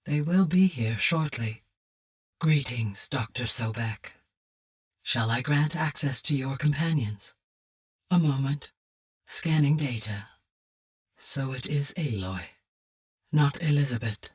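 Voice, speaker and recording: A woman voicing a calm, courteous, motherly artificial intelligence in a video game, speaking softly with measured, precise, formal diction. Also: extremely low pitch, breathy edge, far from the mic, heavily acted. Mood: calm, wistful, then calm, helpful. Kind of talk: scripted video game voice acting.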